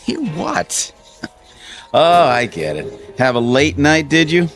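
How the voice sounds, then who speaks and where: An actor playing a jovial, teasing bank coworker. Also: male voice, on the high side, sing-song, slow, fairly bright tone, soft background music, heavily acted. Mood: amused.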